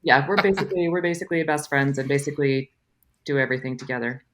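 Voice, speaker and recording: A woman's voice: very deep voice, fairly even intonation, fast, a bit bright. A woman in her forties, a guest speaking casually in a podcast interview, chatty and self-deprecating with frequent laughs. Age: 40s. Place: home room.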